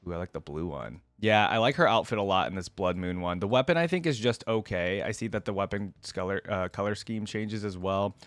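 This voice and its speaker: A man's voice: fairly low pitch, a little fast, hardly any pauses, a bit bright, dry recording. A man giving casual, informal reaction commentary, talking in a slangy, enthusiastic way as the host.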